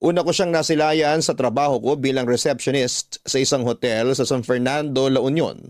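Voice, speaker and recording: Man, mid-pitched voice, scripted story narration, measured dramatic delivery, drawn-out endings, dry recording. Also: fairly even intonation, no room sound. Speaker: radio drama host and narrator reading a letter.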